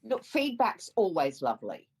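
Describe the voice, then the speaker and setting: An Australian woman, a psychic medium guest, answering interview questions in a calm, measured way over a call. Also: medium pitch, slightly rough.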